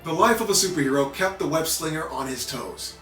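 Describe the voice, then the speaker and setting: A man reading a children's story aloud in an animated narrating style with playful asides. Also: a little high, brisk. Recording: roomy echo with background music.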